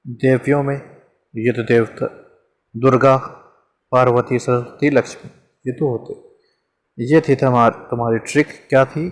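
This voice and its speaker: A man's voice: mid-range voice, steady tone, a little slow, pauses often. A man teaching a Hindi grammar lesson in a casual, chatty way, asking questions and answering them himself. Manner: conversational, repeats examples, asks and answers himself.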